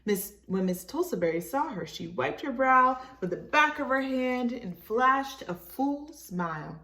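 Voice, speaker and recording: A woman's voice: sits high, lively intonation, unhurried, pushed and bright, distant mic. A woman reading a storybook aloud expressively for children, encouraging and speaking to them as friends. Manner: encouraging, addresses young listeners as friends.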